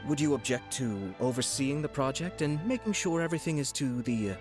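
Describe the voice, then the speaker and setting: A man's voice: mid-range voice, lively intonation, close to an everyday voice. Man playing a polished, sly young nobleman over music. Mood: gracious, musing. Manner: polished, formal, composed, playfully measured. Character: refined, courteous young nobleman, sly and teasing. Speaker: video game character voice actor. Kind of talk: scripted game character voice lines. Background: background music.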